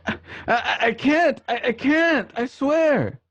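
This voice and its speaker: A man's voice: extremely high pitch, slow, a bit bright, voice pushed up, exaggerated voice. A man playing a terrified man pleading and stammering through a beating, over a dull online call. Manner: stammering, breathless begging.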